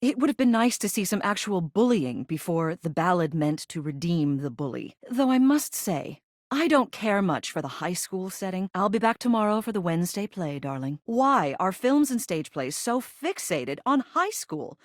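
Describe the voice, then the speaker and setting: A woman's voice: medium pitch, very animated, moderate pace, dry recording, clean studio sound, natural voice. A woman voicing a video game character, a living ceiling who is a haughty yet fond arts critic, speaking in an arch, theatrical manner. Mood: pleased, appraising. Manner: theatrical, arch, drawling emphasis.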